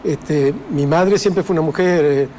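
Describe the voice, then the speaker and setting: A man's voice: a little high, a little slow, warm tone, a little hiss or hum. An older man with an Argentine Spanish accent, speaking reflectively as an author in an interview, with many fillers and restarts. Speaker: author guest. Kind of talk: interview conversation.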